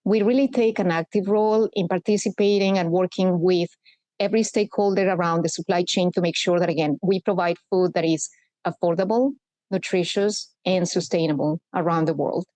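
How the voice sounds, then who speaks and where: A woman, speaking as a guest in a podcast interview and explaining things in an earnest, measured way. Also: lowish voice, steady tone, mellow, no room sound. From Colombia. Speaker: guest, corporate sustainability executive.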